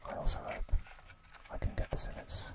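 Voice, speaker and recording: A man hosting ASMR, narrating in a soft, breathy whisper with gentle, deliberate phrasing. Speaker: ASMR channel host.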